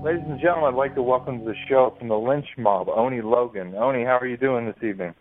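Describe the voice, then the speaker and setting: A man hosting a radio interview, asking questions with many pauses and fillers. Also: mid-range voice, faint music behind. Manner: hesitant, lots of ums and uhs. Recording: tinny phone-like sound.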